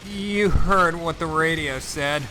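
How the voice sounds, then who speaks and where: Slow, strained injured man, played by an actor. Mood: resigned. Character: badly burned, injured male explosion survivor, stoic. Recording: very loud rain and rumble over voice.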